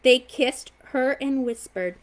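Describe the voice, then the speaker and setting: A woman reading a children's picture book aloud as a storytime host, with expressive, dramatic delivery and playful emphasis. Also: high voice, slow pace.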